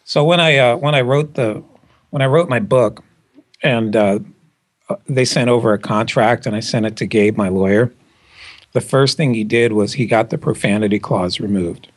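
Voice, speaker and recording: A man's voice: fairly low pitch, normal speed. A middle-aged man guesting on a podcast, bantering with profane wisecracks. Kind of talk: casual chat.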